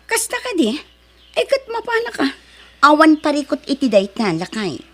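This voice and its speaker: A woman's voice: sits high, wildly swooping pitch, moderate pace. An actress playing a snobbish married woman in an Ilocano drama, with a taunting, exaggerated way of talking. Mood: casual. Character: snobbish, mocking married woman who belittles poorer neighbours. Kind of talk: Ilocano radio drama acting.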